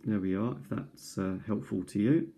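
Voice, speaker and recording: A man casually showing his cassette tape collection at a kitchen table, recorded on a tablet, pausing often with ums and restarts. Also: on the low side, vocal fry, mellow, slight background noise. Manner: hesitant, many ums and restarts. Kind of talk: casual show-and-tell. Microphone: tablet camera mic.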